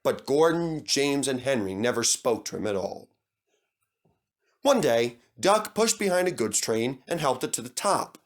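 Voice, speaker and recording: A man's voice: mid-range voice, lively intonation, measured pace. A man narrating a scripted children's story as a storyteller. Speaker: storyteller, fandub reader.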